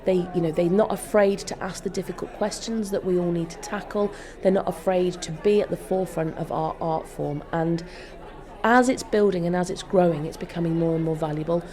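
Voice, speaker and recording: A woman's voice: fairly low pitch, fairly even intonation, a little fast, mellow. A woman giving an effusive, emphatic interview as a company executive. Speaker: interview guest, joint chief executive of a theatre company. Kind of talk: enthusiastic interview talk. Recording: busy crowd chatter behind voice. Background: event crowd.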